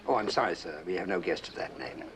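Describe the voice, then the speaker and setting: An actor playing a polite but evasive hotel manager in a suspense drama, formal, deferential and guarded. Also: male voice, deep voice, fast talker, slight background noise, close to an everyday voice.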